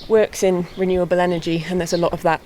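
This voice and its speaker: A woman's voice: on the low side, fast, rough recording. Young woman, easygoing chatty guest, outdoor hill walk, faint wind noise. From born in England, raised in mid Wales. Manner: relaxed, chatty, laughs lightly. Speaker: harpist and guest. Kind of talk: casual walking interview.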